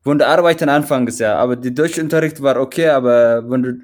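A man's voice: medium pitch, brisk, darkish tone. A man from Eritrea with an Eritrean accent, a runner interviewed in a casual chat, speaking hesitantly with many restarts as he searches for words. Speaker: guest, mountain runner.

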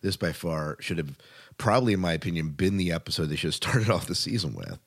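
Low-voiced man hosting a casual chat. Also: big pitch swings, vocal fry. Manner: halting, repeats words. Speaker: podcast host.

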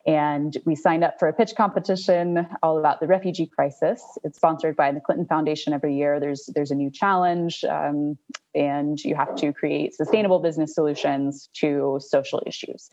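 A young adult woman, a startup co-founder, giving measured explanatory answers in an interview. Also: low voice, steady tone, a little mellow, highs cut off. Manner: measured, explanatory, with small restarts.

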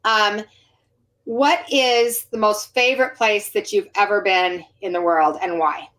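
A woman's voice: medium pitch, steady tone, unhurried, a bit bright. A woman in her forties hosting a casual interview, friendly and rambling as she tosses out questions. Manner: friendly, rambling, lots of fillers. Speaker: podcast host.

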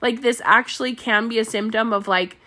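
A woman's voice: fairly high pitch, fairly quick, bright, forceful tone. A woman hosting a solo podcast, chatting in a bubbly, rambling way with a stuffy nose. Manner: bubbly, chatty, lots of filler words and laughs. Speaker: podcast host and mindset coach.

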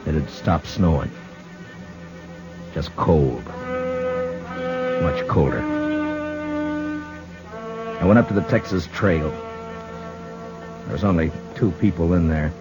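A man playing a stern, stubborn Old West lawman in a 1950s radio Western, terse and deliberate. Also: extremely low pitch. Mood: somber, reflective. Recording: dull vintage radio recording, music behind.

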